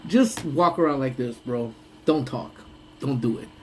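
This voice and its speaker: A man's voice: medium pitch, a little slow, darkish tone, slight background noise. A young man hosting a casual lunch-break live stream, rambling and laughing often, with a voice that sounds congested. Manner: rambling, laughs often, trails off mid-thought.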